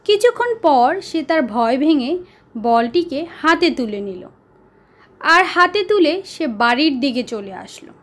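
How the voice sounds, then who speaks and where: A woman narrating a children's story as a storyteller, deliberate and pausing for dramatic effect. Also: high-pitched, very animated, a bit bright.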